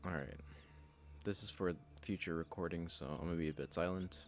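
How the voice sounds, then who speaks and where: A man hosting a casual game play-through, talking in a loose, rambling way with a slightly raspy voice. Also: deep voice, brisk. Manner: loose and rambling, with many fillers. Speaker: host.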